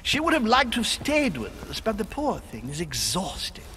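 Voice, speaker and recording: An actor playing a genteel, courteous aristocratic host with a courtly, theatrical manner in a video game. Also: male voice, high-pitched, wildly swooping pitch, moderate pace, bright, forceful tone, a little hiss or hum, full character voice. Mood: polite, reassuring. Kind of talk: video game cutscene acting.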